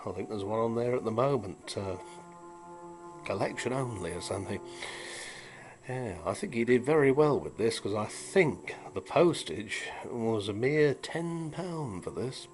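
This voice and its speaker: A man's voice: medium pitch, animated, measured pace, a little mellow, faint music behind. A middle-aged British man chatting casually as a hobbyist demonstrator, rambling in a dry, wry, musing way. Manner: wry, dryly humorous, musing. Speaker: vintage audio hobbyist and repairer presenting.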